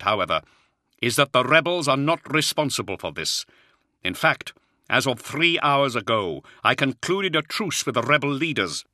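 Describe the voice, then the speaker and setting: A stern warrior lord, voiced by a male narrator, gives orders in a commanding, forceful voice. His delivery rises and falls freely, with a bright, hard edge. It plays as the authoritative leader of an audiobook battle. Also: mid-range voice, no room sound, studio quality, pitched above the performer's own voice.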